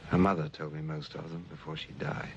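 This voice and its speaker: An actor playing a grave, troubled husband in a 1950s film drama, confiding family secrets deliberately. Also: male voice, rumbling low, level tone. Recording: muffled old film soundtrack, faint noise.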